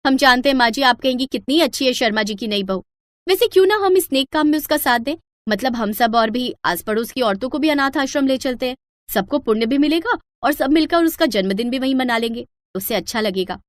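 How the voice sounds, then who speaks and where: A woman's voice: sits high, lively intonation, a little fast, no room sound, studio quality. A woman voicing gossipy young daughters-in-law, sarcastic and conspiratorial, in a moral story. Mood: scheming. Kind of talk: acted moral-story dialogue.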